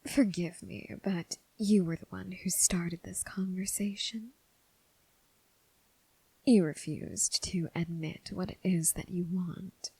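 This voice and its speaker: A woman playing a seductive, menacing crossroads demon who toys with her prey, in a breathy, whispered ASMR roleplay with slow, teasing, formal phrasing. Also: fairly low pitch, mellow, no echo, full character voice, poor audio.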